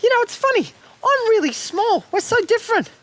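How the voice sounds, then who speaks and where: A woman voicing a small, lonely but cheerful oxpecker bird on a children's TV show, chirpy and friendly with a very high voice. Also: very animated, a little fast, fairly bright tone, exaggerated voice. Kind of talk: children's TV acting.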